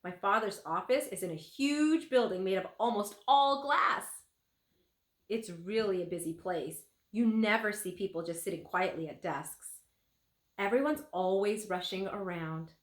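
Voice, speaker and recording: A woman reading a children's book aloud as a teacher would, with an expressive, animated delivery. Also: mid-range voice, a little slow. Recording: roomy, echoing room sound.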